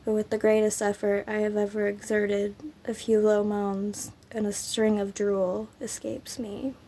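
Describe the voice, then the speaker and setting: A woman with a raspy, under-the-weather voice reads her own poetry at a slow, measured pace. Her tone is gravelly and stays flat. She fills the gaps between poems with easygoing chat. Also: mid-range voice, slight background noise. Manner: slow, even, unhurried delivery with frequent ums. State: sick, raspy throat. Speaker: poetry channel host.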